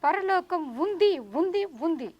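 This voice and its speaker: Woman playing a high-voiced, exasperated big sister puppet. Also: measured pace, a little mellow, no room sound, dull audio. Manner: teasing, pleading, exasperated. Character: exasperated, scolding older sister puppet of a little boy. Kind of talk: children's puppet show dialogue.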